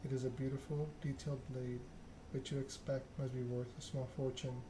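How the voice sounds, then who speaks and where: A man softly reading a story game aloud in a hushed, measured ASMR narration. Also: medium pitch, little pitch movement. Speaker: video game let's-player reading the game aloud. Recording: clear background noise.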